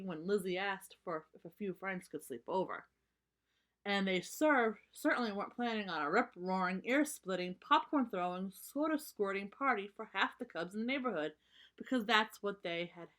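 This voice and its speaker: A woman reading a story aloud for children, narrating and pausing to explain things simply. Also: mid-range voice, a bit bright.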